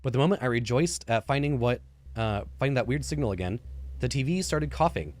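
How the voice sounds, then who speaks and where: A man's voice: medium pitch, expressive, fairly quick. A man in his thirties reading visual novel narration aloud, informally, stumbling over words and adding casual comments. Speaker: gaming YouTuber, let's player. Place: indoor room, seated at a computer. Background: game music.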